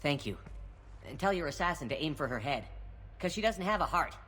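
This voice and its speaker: An actor playing a blunt, bitter, overworked construction worker in a video game. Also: male voice, on the high side, expressive, fairly quick, sharp tone.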